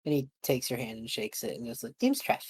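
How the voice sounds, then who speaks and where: A woman with a husky voice, improvising in character in a tabletop roleplaying game. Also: a bass voice, sing-song, brisk, a little mellow, no room sound.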